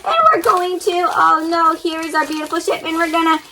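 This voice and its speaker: A woman reading a storybook aloud to young children with animated, wide-eyed expression, guiding them directly as she goes. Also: very high voice, fairly quick, background noise. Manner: addresses young listeners directly with questions and sound effects. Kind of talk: interactive storybook reading and paper-folding instruction.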